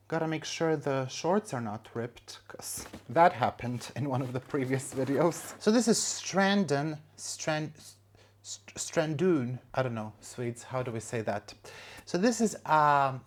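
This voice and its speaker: Casual home vlog, chatty, self-deprecating, coos at plants, indoors. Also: mid-range voice. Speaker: plant vlogger.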